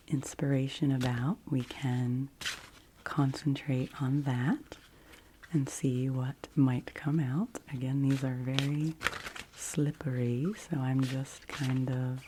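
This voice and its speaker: Very low, slow whispering ASMR woman. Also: steady tone, noisy background. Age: 40s. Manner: soft, gentle, unhurried delivery. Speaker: ASMR host doing an oracle card reading.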